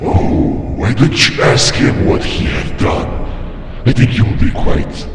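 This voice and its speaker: A man playing a sinister vampire cult leader in a game roleplay, speaking in a slow, menacing, theatrical, breathy way. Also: on the low side, big pitch swings. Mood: taunting. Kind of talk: amateur video game roleplay acting. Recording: echoey hall reverb with music.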